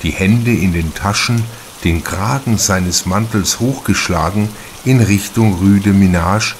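A man reading a crime novel as an audiobook narrator, in a measured, deliberate manner with careful pauses. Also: low voice, a little hiss or hum.

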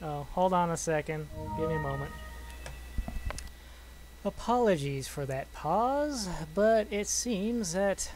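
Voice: medium pitch, lively intonation, slow, darkish tone, faint music behind, rough recording. A gamer giving casual, playful let's play commentary, chatting to the things and enemies in the game. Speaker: gamer narrating own playthrough.

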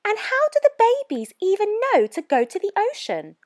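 An eager, curious island girl from a storybook, played by a woman reader. Her voice is very high and swoops with earnest, wide-eyed feeling, full of spirit and care. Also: huge pitch swings, normal speed, heavily acted. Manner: bright, earnest, wide-eyed exclamations and questions.

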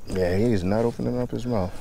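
A man's voice: fairly low pitch, fairly even intonation, fast, gravelly, warm tone, slight background noise, rough recording. A young man in a kayak on a lake, casually explaining his bait and fishing choices, recorded outdoors on a body-worn action camera. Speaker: fishing vlogger.

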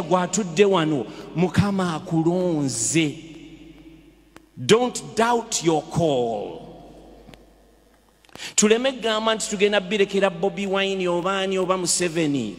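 Impassioned Ugandan priest in echoing church. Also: male voice, high-pitched. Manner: impassioned, rhetorical questions, emphatic exhortations. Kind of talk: sermon. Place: large church. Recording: reverberant church hall.

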